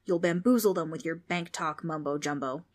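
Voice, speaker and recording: A woman reading aloud, voicing a loud, scornful male ghost who mocks children. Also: low voice, moderate pace, close to an everyday voice. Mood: mocking. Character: bossy male ghost, gruff and mocking.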